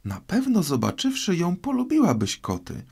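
A man voicing a polite, eager little girl in a storybook, chattering earnestly and quick to apologise. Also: high voice, huge pitch swings, creaky, darkish tone, slightly rough, voice pushed up, exaggerated voice. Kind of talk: storybook character voice.

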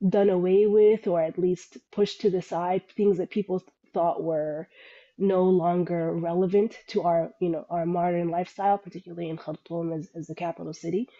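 A woman in her thirties speaking as an interview guest on a video call, thoughtful and halting, with many restarts. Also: medium pitch, dark tone. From Sudanese parents, grew up in America. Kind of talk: reflective interview answers. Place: small room indoors. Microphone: earbuds on a video call. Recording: dull lo-fi call audio.

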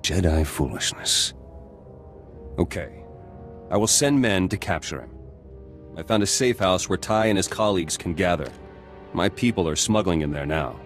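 Low-voiced, grim resistance fighter. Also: male voice, expressive, moderate pace, long pauses. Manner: terse, grim. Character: hard, bitter male resistance fighter. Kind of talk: video game voice acting. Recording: music playing behind the voice.

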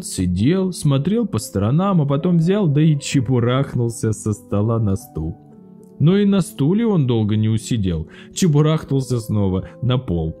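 A man, an actor, narrating a children's fairy tale in a lively, expressive way. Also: mid-range voice. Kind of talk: scripted storybook narration.

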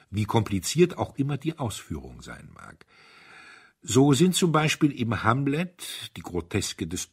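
A man voicing a gentle, thoughtful priest detective, explaining a crime in a deliberate, rhetorical storybook monologue. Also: on the low side, breathy edge, exaggerated voice.

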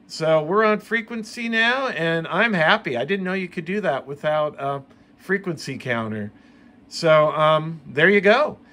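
Bright-voiced, higher-pitched American man. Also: animated, a little slow. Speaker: video host, CB radio hobbyist. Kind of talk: casual how-to narration. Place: room at home.

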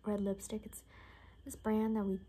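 A young woman doing an improvised ASMR roleplay in a soft, often whispered voice, rambling and repeating words in a hushed, unhurried way. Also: mid-range voice, little pitch movement, a little hiss or hum. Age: young adult. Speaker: ASMR creator playing a caretaker. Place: small bedroom, indoors.

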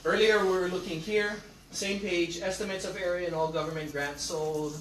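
A man's voice: high voice, fairly even intonation, moderate pace, fairly bright tone, slight background noise. A middle-aged man gives a classroom lecture, emphatic and asking his audience rhetorical questions. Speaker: lecturer on land history. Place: classroom with projector screen. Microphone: far camera. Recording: echoey room from far off.

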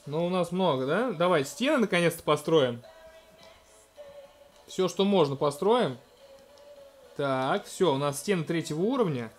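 A man in his thirties casually commenting on a video game in a gentle, coaxing way, talking to a small child beside him at home. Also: on the high side, lively intonation, unhurried, long pauses, faint music behind. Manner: gentle, coaxing, talks to the child, narrates what is on screen. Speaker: let's-play host playing with his toddler.

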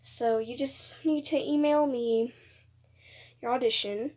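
A young woman with a high, slightly breathy and rough voice that cracks now and then. She makes an informal, rambling announcement to her viewers at an unhurried pace, hesitating and circling back over her phrases. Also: fairly even intonation, darkish tone. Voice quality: occasional voice cracks. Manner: hesitant, informal, repeats herself. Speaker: video creator announcing voice auditions. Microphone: phone voice recorder app.

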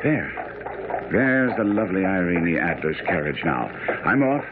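An actor playing a confident, sharp-witted gentleman detective in a radio drama, speaking in a formal, measured, explanatory way. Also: sits low, sing-song. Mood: confident, urgent. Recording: muffled, noisy vintage radio broadcast.

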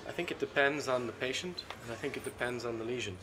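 A middle-aged man, a cardiologist being interviewed at a conference, talks in a measured, analytical way with frequent ums and restarts. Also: mid-range voice, a crowd in the background. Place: seated at a conference booth indoors. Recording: constant conference hall ambience.

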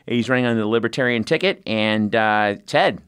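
A man's voice: lowish voice, normal speed, a bit bright, clear, resonant tone, dry recording, studio quality. A man from California hosting a podcast interview, talking casually with lots of restarts and the odd swear word. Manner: rambling, profane, many restarts. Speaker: podcast host.

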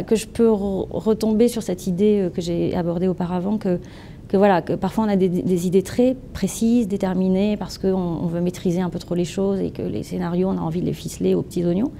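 A woman in her thirties answering interview questions at a trade fair stand, lively and playful with words, gesturing as she talks. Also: mid-range voice, creaky, slight background noise. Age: 30s. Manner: animated, gestures with hands, playful wordplay. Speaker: entrepreneur interviewee. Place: event stand with branded backdrop, indoors.

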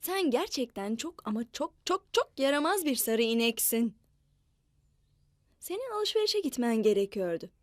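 A woman telling a story to young children in a playful, expressive, repetitive way. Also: fairly high pitch, no echo, clean studio sound. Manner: slow, repetitive, expressive delivery with animal mooing.

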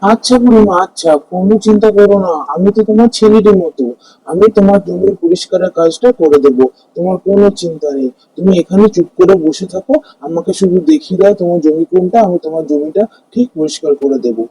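A man plays a kindly poor farmer in a cartoon folk tale. His voice is high for a man, soft-edged and rough with huskiness. He talks earnestly and plaintively, in a dry, close sound. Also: quick pace, no room sound. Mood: caring, reassuring. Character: kind, hard-working poor village farmer, male. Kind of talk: Bengali animated fairy-tale dialogue.

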